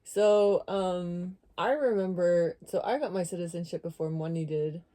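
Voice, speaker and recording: A woman's voice: fairly low pitch, fairly even intonation, normal speed, warm tone. A woman co-hosting a podcast, sharing personal recollections in casual conversation. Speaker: podcast co-host.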